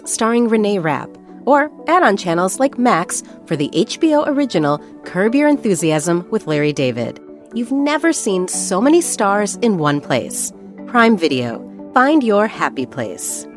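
Woman announcer, polished and animated, light music under the read. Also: medium pitch. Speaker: podcast announcer. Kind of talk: scripted ad read.

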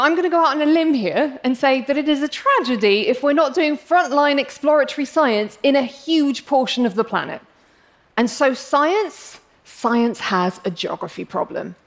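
A young English woman with an English accent giving a prepared public talk, passionate and wry with self-deprecating humor. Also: fairly high pitch. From England.

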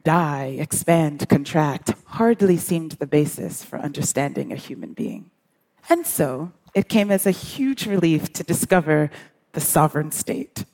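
A woman from the United States giving a prepared conference talk, with measured, deliberate phrasing and dramatic pauses. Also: low-pitched, darkish tone, poor audio. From grew up in the United States. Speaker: author, public speaker. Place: on stage at a live conference.

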